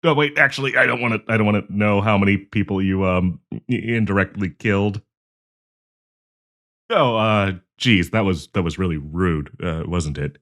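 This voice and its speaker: A man's voice: fairly low pitch, normal speed, fairly bright tone. A man playing a nervous, awkward young man shyly confessing love to a friend in an audio roleplay, stammering and hesitant. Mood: flustered, nervous. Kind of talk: intimate audio roleplay acting.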